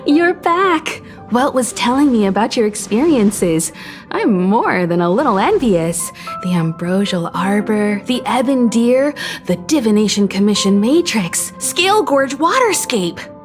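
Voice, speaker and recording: A woman voicing an elegant adult traveler in a video game, wistful and warmly teasing, poised yet playful. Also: a little high, sing-song, unhurried, faint music behind. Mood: playfully teasing. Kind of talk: English video game voice acting.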